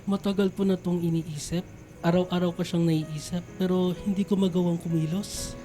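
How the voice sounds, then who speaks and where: A man's voice: high-pitched, flat delivery, moderate pace, mellow, soft background music, close to an everyday voice. An actor playing an earnest, anxious, lovestruck young man in a radio drama, with a Filipino accent. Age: young adult.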